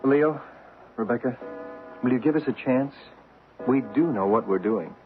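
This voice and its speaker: An actor in an old radio play as a patient, earnest male spirit medium with a gently persuasive manner. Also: medium pitch, moderate pace, music in the background, close to an everyday voice. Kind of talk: vintage radio drama acting. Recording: tinny old radio recording, faint hiss.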